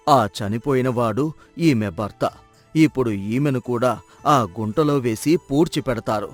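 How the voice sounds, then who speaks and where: A man voicing an old villager who speaks slowly, in a hushed and solemn way, in a dramatized story. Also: mid-range voice, voice pushed down, close to an everyday voice. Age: older. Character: old village man gravely explaining a cruel custom.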